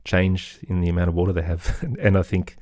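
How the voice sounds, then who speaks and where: A man with an Australian accent, talking as an interview guest in an agreeable, conversational way with softened phrasing. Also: low voice, monotone, fairly quick, no echo, studio quality. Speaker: podcast guest, musician and composer.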